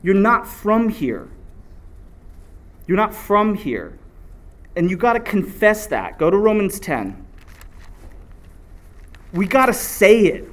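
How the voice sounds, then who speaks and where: A man's voice: high voice, takes it slowly, long pauses. A man preaching a sermon, reading scripture aloud and drawing answers back from the congregation. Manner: animated call-and-response preaching.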